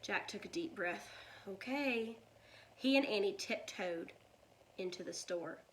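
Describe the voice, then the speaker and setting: A woman reading a children's story aloud like a teacher, with deliberate, expressive delivery. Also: on the low side, a little hiss or hum, rough recording.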